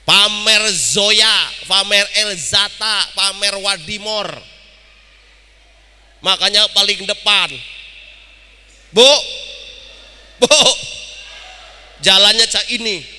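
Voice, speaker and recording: A man's voice: high-pitched, drawn-out words, long pauses, pushed and bright, smooth. A man preaching in a mosque, teasing and joking with his listeners as he talks to them directly. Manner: humorous, teasing, addresses audience directly. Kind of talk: mosque sermon. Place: mosque hall. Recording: long hall reverb.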